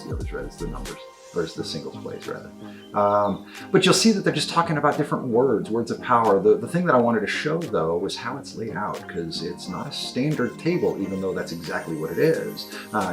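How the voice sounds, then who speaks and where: A man giving an enthusiastic, unscripted product review as a game shop presenter, effusive and emphatic, often repeating words for stress. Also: fairly low pitch.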